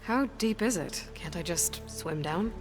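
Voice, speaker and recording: An actress playing a confident, dry-witted young hunter in a video game, direct and wry. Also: female voice, lowish voice, lively intonation, unhurried. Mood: determined, wry. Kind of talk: video game dialogue acting. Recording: music behind the voice.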